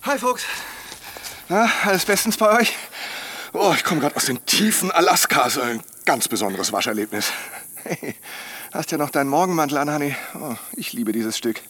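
An actor playing a chatty, hot-tempered man in a German radio drama, with an expressive, blustering delivery. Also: fairly high pitch, moderate pace. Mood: breezy teasing.

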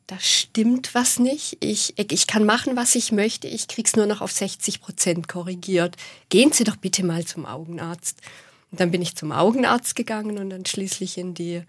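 Calm Swabian woman in her forties. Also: mid-range voice, breathy edge, dry recording. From southwest Germany. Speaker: guest. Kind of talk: radio interview. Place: radio studio.

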